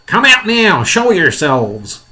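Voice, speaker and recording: A man voicing an excitable, loud, commanding police chief in a storybook, shouting and blustering. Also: sits high, slow pace, voice pushed up, heavily acted.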